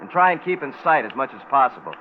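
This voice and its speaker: An actor playing a terse Navy photographer in a radio suspense drama, with a clipped, grave, measured delivery. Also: male voice, mid-range voice, sing-song, natural voice. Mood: brisk, commanding. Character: terse Navy photographer man stranded alone on a bomb-test island. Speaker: lead actor and narrator. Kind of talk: radio suspense drama, first-person log narration. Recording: muffled, noisy vintage radio broadcast recording.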